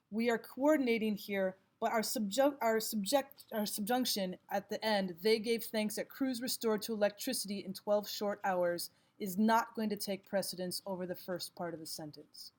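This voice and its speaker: A woman narrating a scripted lesson as a teacher, reading items aloud in a measured, instructional way. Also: mid-range voice. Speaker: English grammar teacher.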